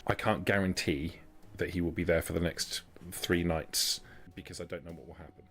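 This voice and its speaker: A man plays a guarded vampire woman in a tabletop roleplaying game. The voice is very deep, and the wording is formal, measured and careful, as in a delicate negotiation. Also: fairly even intonation, fairly bright tone, faint music behind.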